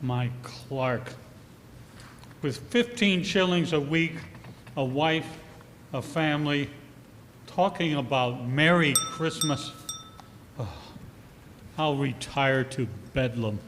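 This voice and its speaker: An actor playing a cold, bitter old miser with scornful, barking theatrical delivery in a 1940s style radio play. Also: male voice, fairly high pitch, slow, long pauses, noisy background. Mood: grumbling. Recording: live performance in a reverberant hall.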